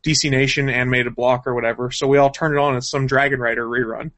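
A man's voice: medium pitch, level tone, fast, a bit bright. A man co-hosting a show, chatting casually and giving his opinions as a keen fan. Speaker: co-host of a gaming and comics news show. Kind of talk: casual chat about comics and cartoons.

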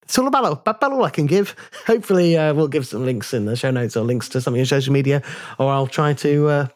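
A man co-hosts a music podcast in easy, casual chat, poking fun at himself and laughing often. His voice sits at a middle pitch, recorded close and dry with no room sound. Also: expressive, fast talker, no echo. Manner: self-deprecating, laughs often. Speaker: podcast co-host. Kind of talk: casual radio-style chat.

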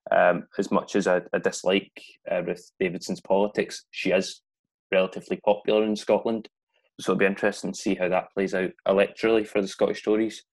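A man with a very low voice and plenty of vocal fry discusses politics casually. His intonation stays fairly flat as he talks on a podcast panel. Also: brisk.

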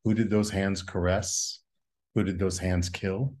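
Deep-voiced man musing softly. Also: fairly even intonation, measured pace. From United States. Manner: musing, asks a string of rhetorical questions. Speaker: guest. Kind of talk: reflective spiritual conversation.

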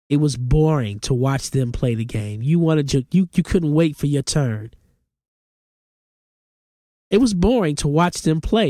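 A man's voice: fairly high pitch, darkish tone, no room sound. Congested man ranting opinions, dry mic. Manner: opinionated, emphatic, rising to exclamations. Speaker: podcast host. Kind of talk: solo podcast commentary.

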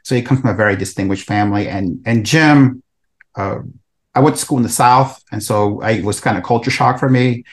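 Soft-trailing low-voiced man. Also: brisk. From El Salvador. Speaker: author and researcher guest. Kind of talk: conversational interview answers.

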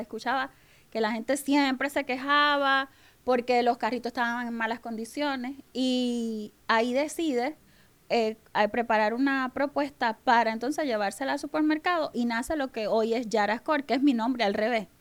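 A woman's voice: a little high, moderate pace. A woman with a Venezuelan accent speaking as an interview guest, telling personal stories conversationally and acting out remembered dialogue. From Maracaibo, Venezuela. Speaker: podcast guest, business owner.

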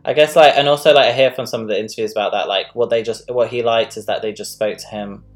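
A woman's voice: very deep voice, quick pace, soft background music. A woman hosting a casual live-stream chat, talking loosely with lots of fillers. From London. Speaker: host.

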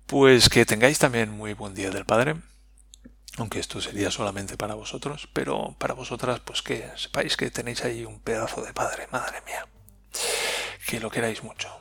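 A man with a Spain Spanish accent hosting a reflective personal podcast, thinking aloud with pauses and restarts. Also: mid-range voice, fairly even intonation, creaky, fairly bright tone, slightly rough. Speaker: podcast host.

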